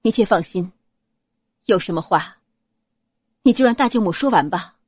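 A composed young noblewoman in a period audiobook, voiced by a woman narrator, gentle and shrewd. She persuades softly in measured phrases with lively intonation, recorded close and dry. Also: medium pitch, slightly rough, no echo. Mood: calm reassurance. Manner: soft-spoken, measured, persuasive. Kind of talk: audiobook character acting.

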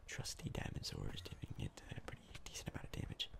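A man giving hushed, casual, halting ASMR gameplay commentary in a breathy, mostly whispered voice as a video host.

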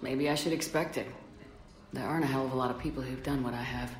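A woman's voice: very deep voice, fairly even intonation, quick pace. A woman playing a tough, composed military commander in a video game, with a dry, confident delivery. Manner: dry, self-assured. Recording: roomy, faint music behind.

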